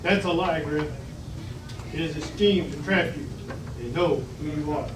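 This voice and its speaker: A man reading the part of a commanding, scheming male villain in a firm, deliberate way. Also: a little high, natural voice. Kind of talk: table read of a sci-fi script. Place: restaurant dining room table. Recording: distant, echoey, noisy restaurant.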